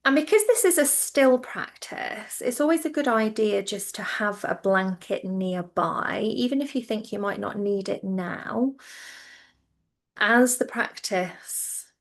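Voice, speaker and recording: Slow, creaky-voiced woman guiding relaxation. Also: medium pitch, animated. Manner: gentle, reassuring, informal. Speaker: yoga nidra instructor. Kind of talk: guided relaxation instruction.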